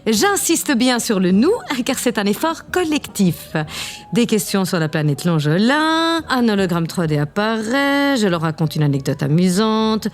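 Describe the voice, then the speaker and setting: A woman playing a vain, imperious, easily bored president of a spaceship in a comedic audio drama. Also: mid-range voice. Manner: self-satisfied, imperious.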